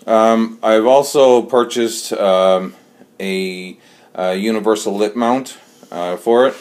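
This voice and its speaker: A man giving a casual, unscripted walkthrough as an amateur vlogger, speaking haltingly with frequent pauses. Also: on the low side, darkish tone. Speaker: amateur vlogger showing an installation. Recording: clear car and outdoor background noise.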